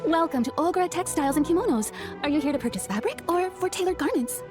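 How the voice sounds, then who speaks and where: A high-voiced, courteous shopkeeper. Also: female voice, lively intonation, fast. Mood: welcoming. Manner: deferential, customer-service politeness. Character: courteous, businesslike female kimono shopkeeper, eager to please. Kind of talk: anime game voice acting. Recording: faint background music.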